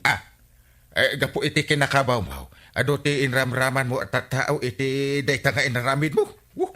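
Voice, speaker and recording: An actor playing a bossy, excitable village captain in a comedy radio drama, scolding and insisting with an Ilocano accent. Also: male voice, mid-range voice, moderate pace. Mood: scolding, exasperated.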